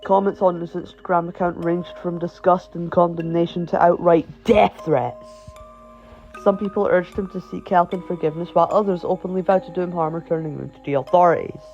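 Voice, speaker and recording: Higher-pitched man narrating, dull lo-fi sound. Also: steady tone, brisk, darkish tone. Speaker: video narrator. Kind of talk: scripted horror-story narration. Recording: dull, lo-fi voice track. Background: background music.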